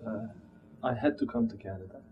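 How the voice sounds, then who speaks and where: A man in his thirties with a Turkish accent, speaking haltingly and searching for words as he reflects in an interview. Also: fairly low pitch, steady tone. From Turkey. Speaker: interviewee, immigrant telling personal story. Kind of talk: reflective personal interview testimony. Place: small plain room indoors. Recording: dull muffled sound, background room noise.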